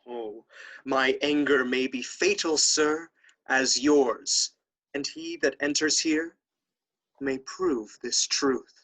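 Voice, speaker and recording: Man playing a forceful, husky, proud young nobleman. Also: mid-range voice, slow, rough recording. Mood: challenging. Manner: formal, dramatic, archaic speech. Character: proud, jealous young nobleman, vengeful brother. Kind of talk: period stage comedy audio drama.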